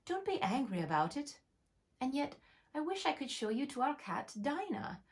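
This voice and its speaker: A woman's voice: high-pitched, sing-song, normal speed, pitched above the performer's own voice. A woman voicing a polite, curious young girl who chatters earnestly. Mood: apologetic.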